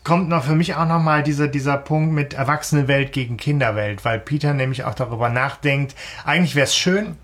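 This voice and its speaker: A man co-hosting a podcast, explaining his points in casual discussion and building them up a little haltingly. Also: fairly high pitch, fairly bright tone. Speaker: podcast co-host.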